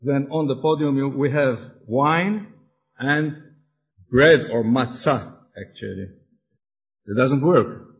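Slow, halting man from Austria. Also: mid-range voice, highs cut off. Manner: hesitant, searching for words, frequent fillers. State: a little excited. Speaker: congregation member presenting his group. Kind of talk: halting presentation to an audience with slides.

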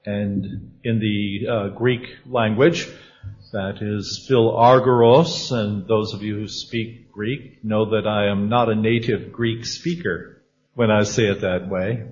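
A man leading a church panel discussion, reading scripture and calling on others by name in a measured way. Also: lowish voice, fairly even intonation, darkish tone, husky, highs cut off, soft background music. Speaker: discussion leader.

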